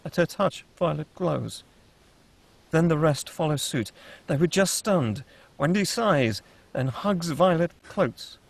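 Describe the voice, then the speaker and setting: A man's voice: on the high side, expressive, takes it slowly, vocal fry. A man reading scripted stage directions as the narrator of a screenplay table read.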